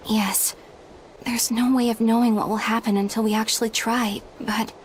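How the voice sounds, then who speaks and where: A woman's voice: a little high, little pitch movement, normal speed, slight background noise, lightly acted. An actress playing an earnest, dutiful young woman exorcist in a video game, speaking sincerely. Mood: hesitant concern. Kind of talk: dramatic video game voice acting.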